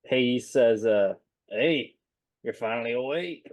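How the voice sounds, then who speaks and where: A man's voice: medium pitch, slow pace, a little mellow, dry recording. Male tabletop player, dry close sound. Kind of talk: casual in-game banter.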